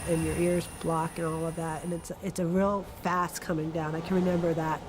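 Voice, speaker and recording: A woman's voice: sits low, flat delivery, brisk, heavy background noise. A woman recalling her experience as a passenger in a conversational documentary interview. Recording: loud music under voice. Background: loud background music.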